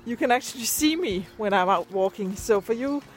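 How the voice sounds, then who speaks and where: Female vlogger, native Danish speaker with Danish accent, slightly high pitch, informal and searching for words, faint music present. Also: animated, a little fast. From Denmark. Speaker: video and podcast creator. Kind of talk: casual vlog chat. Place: outdoors, on a walk. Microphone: handheld device at arm's length.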